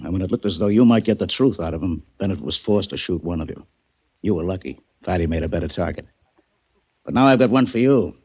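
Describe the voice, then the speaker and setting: An actor playing a brisk male police lieutenant in 1940s radio acting, with a husky voice. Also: low-pitched, a little mellow, full character voice. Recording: dull old radio recording.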